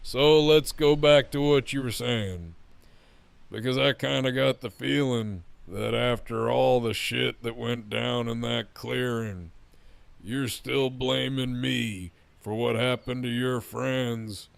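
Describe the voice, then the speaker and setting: Man acting as menacing gang boss, heavy gravel and fry, slow smug drawl, mocking, lewd, audio drama villain. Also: mid-range voice, steady tone, dry recording. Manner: taunting, drawling, crude, smug. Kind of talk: full-cast fan audio drama acting.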